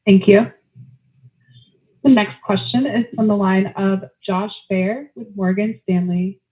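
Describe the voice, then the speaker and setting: A woman's voice: mid-range voice, steady tone, takes it slowly. A woman working as a conference call operator, reading scripted announcements in a formal, procedural manner. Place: telephone conference. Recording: narrow phone line audio.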